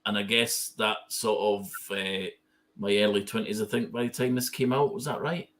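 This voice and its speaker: Man in his thirties, low voice, hesitant, sentimental fan chat. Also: fairly even intonation, moderate pace, a bit bright, slight background noise. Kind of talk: casual fan discussion.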